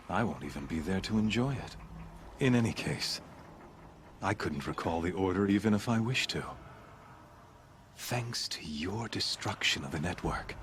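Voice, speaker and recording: An actor playing a cold, scheming male villain who taunts in a quiet, measured, half-whispered way in a video game cutscene. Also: sits low, a little hiss or hum.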